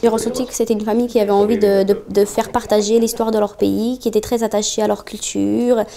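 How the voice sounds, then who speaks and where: A young woman in her twenties, originally from Bosnia, speaks in a documentary interview. Her voice is mellow and soft-edged. She talks earnestly, pausing often and filling the gaps with small hesitations. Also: mid-range voice, flat delivery, gravelly. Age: 20s. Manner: earnest, with frequent pauses and fillers. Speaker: student intern.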